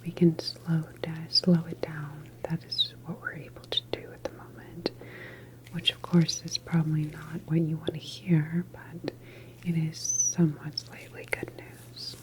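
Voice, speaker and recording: A woman playing a calm, reassuring government doctor in a sci-fi ASMR roleplay, explaining things gently in a soft whisper. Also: deep voice, a little slow, low-quality recording.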